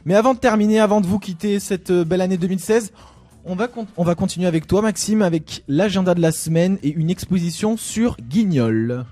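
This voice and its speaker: A young man from Lyon hosting a casual radio show, chatty and relaxed, with hesitant fillers. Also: high-pitched, brisk, soft background music. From Lyon, France. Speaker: radio host. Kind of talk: casual student radio chat.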